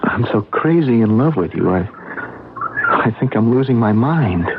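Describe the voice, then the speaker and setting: A man's voice: mid-range voice, expressive, moderate pace, lightly acted. Man acting a lovestruck radio drama suitor, muffled noisy recording. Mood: earnest, agitated. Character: earnest, lovestruck man pleading with a near stranger. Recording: muffled, noisy old radio broadcast with clicks.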